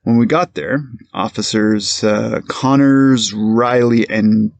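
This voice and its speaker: Low-voiced, careful police witness played by a man. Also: slow, vocal fry, no room sound. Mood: careful, formal. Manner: hesitant, precise, formal and deferential. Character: careful, plain-spoken male police captain testifying as a witness. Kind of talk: courtroom transcript reenactment.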